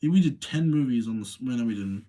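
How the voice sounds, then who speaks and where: A man hosting a livestream, talking casually in an informal, rambling, slangy way with a husky voice. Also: mid-range voice, expressive, quick pace, mellow. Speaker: livestream host. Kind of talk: casual livestream chat and commentary.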